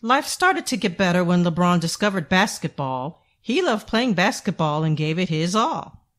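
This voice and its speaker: A woman reading a children's storybook aloud in an expressive, deliberate way for young listeners. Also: on the low side. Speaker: children's book narrator. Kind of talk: scripted read-aloud storybook narration.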